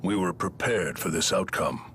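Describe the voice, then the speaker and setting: Casting: stoic male game spirit, low-pitched, solemn, wise, formal. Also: fairly bright tone, slight background noise. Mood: grave. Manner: grave, formal, measured. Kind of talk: video game voice acting.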